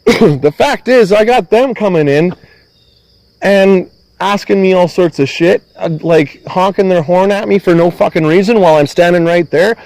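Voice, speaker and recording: High-pitched angry middle-aged man swearing. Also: moderate pace, a little mellow, no echo, low-quality recording. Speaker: van-dwelling vlogger. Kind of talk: agitated venting to a police officer. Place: outdoors at a rural property.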